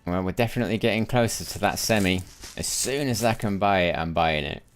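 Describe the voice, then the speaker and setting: A man's voice: on the low side, a little fast, slight background noise. A tired man chatting through his gameplay on a live stream, musing aloud and often restarting his sentences. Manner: chatty, muses aloud, often repeats words while restarting. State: tired after a sleepless night, voice lower than usual. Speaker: gaming streamer. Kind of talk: casual live gameplay commentary.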